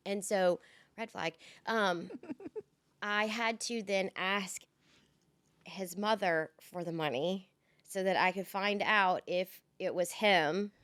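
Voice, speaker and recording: Woman co-host, candid rambling storyteller, mid pitch, dry close sound. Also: fairly bright tone, dry recording. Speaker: podcast co-host. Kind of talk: casual chat.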